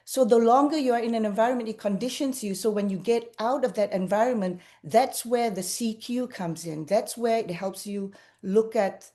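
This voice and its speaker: A woman's voice: a little high, moderate pace, dark tone. A woman from Malaysia speaking on a webinar panel over a video call, hesitant, with many fillers and restarts. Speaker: panelist, cultural intelligence practitioner.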